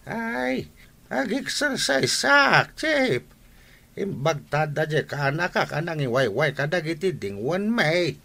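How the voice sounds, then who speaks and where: An actor playing a folksy village uncle in an Ilocano radio comedy drama, with an Ilocano accent. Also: male voice, on the high side, big pitch swings, takes it slowly, creaky, pushed and bright, heavily acted. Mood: chatty.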